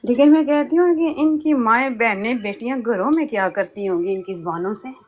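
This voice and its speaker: A woman's voice: fairly high pitch, fairly quick. A woman chatting casually in a live group conversation.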